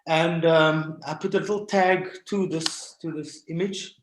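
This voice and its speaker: A man with a South African accent telling stories informally on a video call, halting and pausing often. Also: on the high side, fairly even intonation, slight background noise. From Cape Town, South Africa. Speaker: guest artist sharing stories. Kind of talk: informal storytelling presentation. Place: indoors at home, on a video call. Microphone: video call microphone. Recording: roomy, echoing video call audio.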